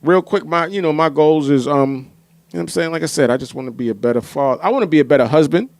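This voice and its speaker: A man's voice: a little high, quick pace, a little mellow, dry recording. A man co-hosting a podcast in casual chat, emphatic and often repeating his phrases and tags. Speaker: podcast co-host.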